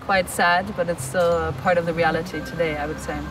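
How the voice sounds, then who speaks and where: A woman's voice: fairly low pitch, fairly even intonation, fairly quick. A woman giving her opinions in an interview. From Germany. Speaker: street interviewee. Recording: distant outdoor mic, music behind.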